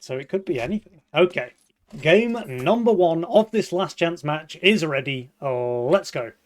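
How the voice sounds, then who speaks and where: A man's voice: a little high, darkish tone. A young man hosting a live esports broadcast, upbeat and laughing often as he runs the show. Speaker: esports caster and host.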